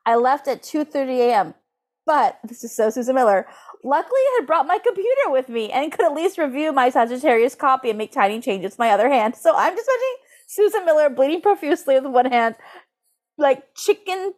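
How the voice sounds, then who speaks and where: Higher-voiced woman, animated and giggly co-host. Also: a little fast. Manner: animated, giggly, uses "like" constantly. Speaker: podcast co-host. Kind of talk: casual comedic chat, recapping and reading aloud.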